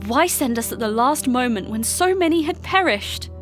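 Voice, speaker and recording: A woman playing a grieving, gentle healer in a fantasy audio drama, earnest and emotional in formal speech. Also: sits high, animated, normal speed, faint music behind. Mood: anguished, questioning. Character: grieving female healer warrior, gentle, questioning, anguished.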